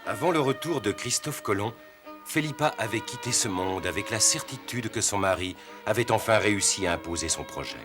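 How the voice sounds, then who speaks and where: A man's voice: lowish voice, animated, fairly quick. A man reading scripted narration for a historical cartoon. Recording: music behind the voice.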